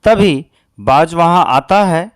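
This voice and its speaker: A man's voice: on the high side, expressive, slow pace, dry recording, studio quality. A man narrating a scripted children's cartoon story as a storyteller.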